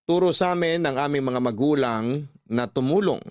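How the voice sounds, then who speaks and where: A man's voice: medium pitch, normal speed, lightly acted. A man playing a responsible uncle, narrating his story earnestly as scripted radio drama. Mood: reflective, earnest.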